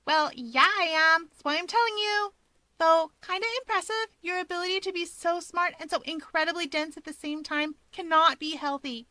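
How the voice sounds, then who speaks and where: A woman's voice: extremely high pitch, moderate pace, bright, forceful tone, no room sound, voice pushed up. A woman voicing a brash, cocky young superheroine in a story, mocking and needling as she talks. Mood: smug mockery.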